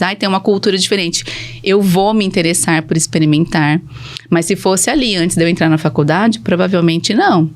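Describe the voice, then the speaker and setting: A woman with a Brazilian accent, a speech therapist speaking as a podcast guest in casual, personal storytelling. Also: lowish voice.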